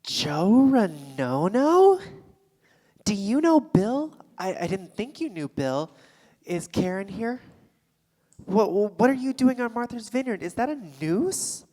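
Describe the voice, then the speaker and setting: A woman's voice: medium pitch, big pitch swings, takes it slowly, dark tone. An actress playing a defensive, rattled woman with a secret affair in a live staged play reading. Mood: alarmed, suspicious. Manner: indignant, sarcastic, stammering when rattled. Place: theatre stage before a live audience. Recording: live theatre stage, long hall reverb.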